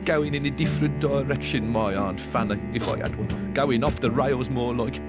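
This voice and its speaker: Actor as pompous, grumbling old British admiral. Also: male voice, medium pitch, normal speed, lightly acted. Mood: aggrieved grumbling. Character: blustering, evasive, self-pitying old male admiral. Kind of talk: video game voice acting. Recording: loud music behind dialogue.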